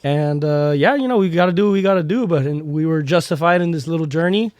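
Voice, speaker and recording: Young man, hoarse, fairly high voice, rambling slangy podcast talk, dry close sound. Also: fast talker, a little mellow, no room sound. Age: 20s. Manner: rambling, slangy, heartfelt, many fillers. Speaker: podcast host. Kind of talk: casual chat.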